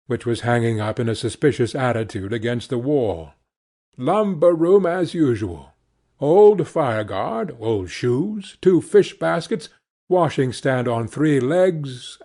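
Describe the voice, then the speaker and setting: A man reading a classic novel aloud as an audiobook, with measured and expressive storytelling. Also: medium pitch. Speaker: audiobook reader. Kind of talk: scripted narration of a classic novel.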